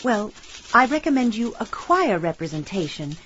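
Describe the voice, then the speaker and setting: An actress playing a prim, deferential secretary in a radio mystery, speaking formally and politely. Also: female voice, mid-range voice, big pitch swings, moderate pace, a bit bright, slight background noise. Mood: brisk, formal. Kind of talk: radio mystery drama acting. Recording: dull band-limited audio with music.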